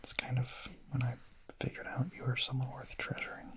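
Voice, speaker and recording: A man playing a shy, gentle young vampire in a whispered ASMR roleplay, murmuring softly, hesitantly and intimately in a breathy voice. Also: mid-range voice, monotone, a little fast, lightly acted. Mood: tender, hesitant. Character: shy, gentle young male vampire, smitten misfit confessing.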